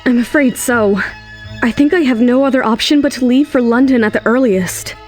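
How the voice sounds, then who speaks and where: An actress voices a young single mother in an audio drama. She speaks in a high voice, anxious and fiercely protective of her child. Faint music runs underneath. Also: moderate pace, a little mellow, close to an everyday voice. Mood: polite, guarded. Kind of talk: dramatised audio series acting with narration.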